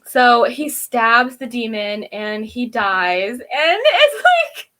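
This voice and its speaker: A woman with a high, very bright voice recaps a show in a casual, chatty way. She is giggly and animated, and slips into acting out imagined reactions as she co-hosts. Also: slow pace. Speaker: co-host of a TV review show.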